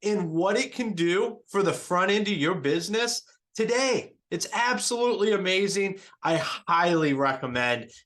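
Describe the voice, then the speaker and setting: Upbeat, higher-voiced male podcast host. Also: animated, moderate pace, fairly bright tone. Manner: upbeat, enthusiastic, promotional. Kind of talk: podcast hosting and self-read ad.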